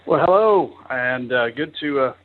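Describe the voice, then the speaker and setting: A man calling in to ask a question, hesitant, with many pauses and fillers. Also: medium pitch, animated. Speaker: caller. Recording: tinny phone line.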